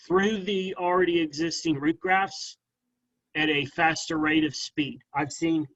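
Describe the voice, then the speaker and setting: A man's voice: fairly high pitch, fairly bright tone, lo-fi sound. A man, a guest arborist, answering questions at a club meeting in a firm, explanatory way, often checking in with "okay?".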